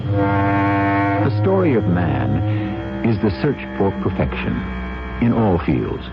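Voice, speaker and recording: A deep male voice hosts a mystery drama over music on a muffled old radio broadcast. He narrates in measured, theatrical phrases heavy with menace. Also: expressive. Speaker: host and narrator.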